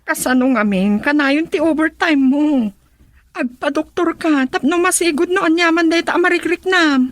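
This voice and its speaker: A woman's voice: high-pitched, normal speed, no room sound, close to an everyday voice. A woman playing a concerned, frank and bossy elder sister in a radio drama.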